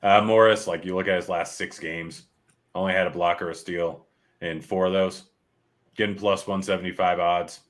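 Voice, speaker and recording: A man with a very low, bright-edged voice hosts a live betting stream. He rattles through player stats and odds, starting lines over as he checks his numbers, in easy back-and-forth chat. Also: fairly even intonation, moderate pace. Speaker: sports betting show host.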